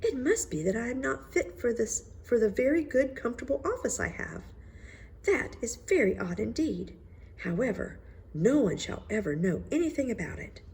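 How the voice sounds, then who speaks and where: A woman's voice: fairly high pitch, sing-song, moderate pace, vocal fry, a little mellow, husky, pitched above the performer's own voice, heavily acted. A woman voicing a proud but self-doubting male court officer in a storybook, muttering to himself. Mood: uneasy.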